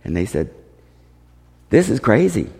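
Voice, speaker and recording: A man with a gravelly, mellow voice tells missionary stories as a testimony. He is animated in how he relays who said what. The voice rings through a reverberant hall. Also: medium pitch, a little slow. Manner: animated, acts out dialogue. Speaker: missionary speaker. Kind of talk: testimony storytelling to a congregation.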